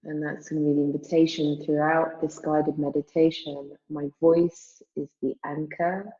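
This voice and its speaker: A woman teaching yoga nidra, guiding a meditation in a calm, soothing, measured manner with long pauses. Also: sits low, highs cut off. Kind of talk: guided meditation, spoken slowly with long pauses.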